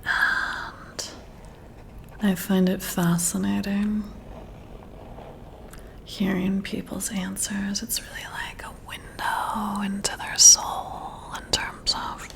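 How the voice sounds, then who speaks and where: A whispered, intimate female voice, very slow and deliberate, a woman acting a flirty boss in an ASMR roleplay. She sits right on the mic as if at your ear, soothing and intrigued, with a creaky edge. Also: medium pitch, little pitch movement, fairly bright tone. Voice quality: breathy whisper with creaky edges. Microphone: close to the mic, whispering into the ear.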